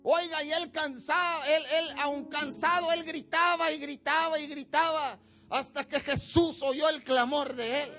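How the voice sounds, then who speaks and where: A high-pitched preacher with a bright, sharp tone, delivering a sermon at a church service. The delivery is slow and deliberate, built on emphatic, repeated exhortations, with soft music faintly underneath. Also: fairly even intonation.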